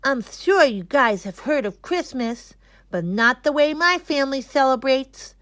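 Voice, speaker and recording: Woman as an excited, proud schoolboy, higher-pitched and drawn-out. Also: no room sound, clean studio sound. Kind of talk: children's storybook read-aloud.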